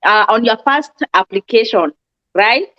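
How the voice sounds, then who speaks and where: A woman with a fairly high voice hosts an interview, asking questions and cheering her guest's answers with enthusiasm. The audio is dull and lo-fi. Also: animated, a little slow. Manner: enthusiastic, affirming. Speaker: host. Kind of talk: interview hosting. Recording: dull lo-fi sound.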